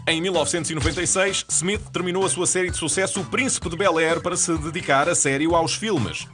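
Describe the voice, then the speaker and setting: A man with a European Portuguese accent reading scripted narration for a TV channel promo in a smooth, even announcer delivery. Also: a little high, brisk. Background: music bed.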